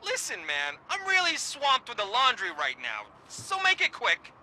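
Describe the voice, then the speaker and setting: A man's voice: extremely high pitch, huge pitch swings, moderate pace, pushed and bright, a little hiss or hum, heavily acted. A man playing a jumpy, irritable street drug dealer in a video game, brash and agitated in his talk. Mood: impatient, brash.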